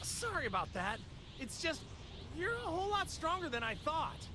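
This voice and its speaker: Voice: extremely high pitch, very animated, moderate pace, background noise. An actor playing a cheerful, naive martial-arts hero in an anime video game, folksy, boyish and eager. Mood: eager, easygoing. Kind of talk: English anime game dub acting. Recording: music behind.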